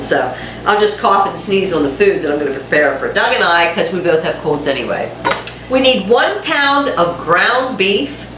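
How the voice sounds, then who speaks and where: A woman's voice: mid-range voice, fairly bright tone, slight background noise. A woman with a head cold and a congested, nasal voice hosts a casual home cooking demonstration, chatting to viewers with self-deprecating asides. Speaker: cooking channel host. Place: home kitchen. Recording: echoey kitchen, mic at a distance. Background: frying sizzle and a dishwasher running.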